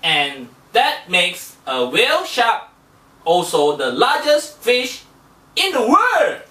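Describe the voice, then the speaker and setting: A woman's voice: a little high, huge pitch swings, slow, fairly bright tone, heavily acted. A woman voicing a naive, easily confused young fish puppet, hesitant and full of questions, in a children's show. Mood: confused, proud. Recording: roomy echo, distant microphone.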